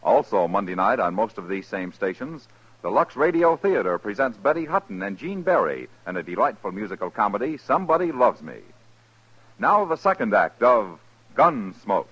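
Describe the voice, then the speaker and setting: A man's voice: fairly low pitch, very animated, moderate pace, a bit bright, breathy edge, highs cut off. A man announcing in the style of 1950s network radio, on an old broadcast recording.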